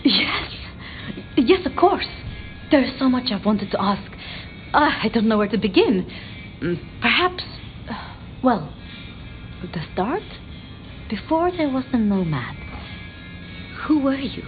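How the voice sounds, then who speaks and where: Timid scholarly vampire, game dialogue, high lilting voice, apologetic hesitations, slightly muffled sound over music. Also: female voice, very animated, takes it slowly, long pauses. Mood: shy eagerness. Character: timid, bookish, grieving female vampire scholar, eager and deferential. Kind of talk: dramatic video game dialogue. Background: background music.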